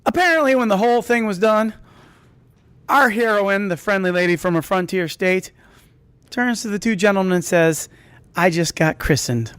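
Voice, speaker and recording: A man's voice: high voice, normal speed, a bit bright, smooth, no echo. A man telling a comedic personal story to an audience, rambling and interrupting himself with asides.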